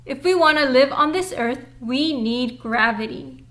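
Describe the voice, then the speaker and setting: High-voiced, bright young woman hosting storytime. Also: measured pace. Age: young adult. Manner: upbeat, clearly enunciated, addressing young children. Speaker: children's storytime host and reader. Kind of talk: children's read-aloud and presenting.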